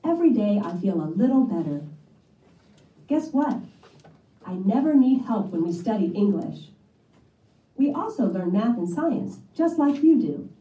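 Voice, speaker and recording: A woman reading aloud a scripted first-person children's story in a soft-toned voice. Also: mid-range voice, big pitch swings, measured pace, pauses often. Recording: echoey playback heard from a distance.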